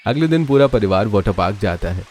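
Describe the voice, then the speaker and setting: A man's voice: lowish voice, animated, a little fast, a little mellow. A man narrating a scripted family cartoon story.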